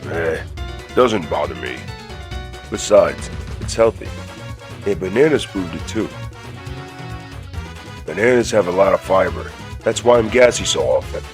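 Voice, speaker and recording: Proud, laid-back cartoon gorilla, male actor, low-pitched, matter-of-fact, lively delivery. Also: a little slow, long pauses, soft background music. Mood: proud, easygoing. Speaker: co-host of a fan question show. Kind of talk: fan audio drama voice acting.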